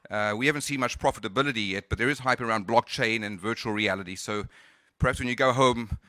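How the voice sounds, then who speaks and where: Bright-voiced man, mixed South African–Californian accent. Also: mid-range voice, fast talker, no echo. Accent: South African and Californian mix. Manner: hesitant, many fillers and restarts. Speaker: conference speaker. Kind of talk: conference presentation.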